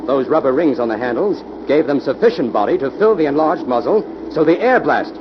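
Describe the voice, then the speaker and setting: A confident American detective, played by a man in a vintage radio mystery. His delivery is animated and methodical, with a commanding edge. The recording is dull, with plenty of noise behind him. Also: a little high, brisk, close to an everyday voice. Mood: assured. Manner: assured, methodical explaining. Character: confident, commanding male detective, shrewd and explanatory. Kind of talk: old-time radio mystery acting.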